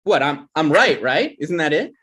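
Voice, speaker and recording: A man's voice: a little high, very animated, moderate pace, a bit bright, slightly rough, full character voice. A man playing a touchy, argumentative adult son in a comedy script table read. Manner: defensive, argumentative.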